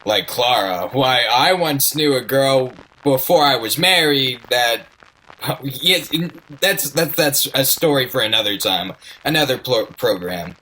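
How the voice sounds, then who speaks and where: An actor playing a chatty male narrator of a radio play, halting and drifting folksily off the point. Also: medium pitch, animated, pushed and bright, low-quality recording. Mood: chatty, wry. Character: folksy, rambling male storyteller, wistful and chatty.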